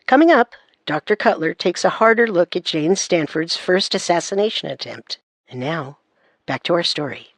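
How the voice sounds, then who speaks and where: A woman narrating a scripted true-crime story as co-host, composed and deliberate. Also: fairly low pitch, animated, no room sound.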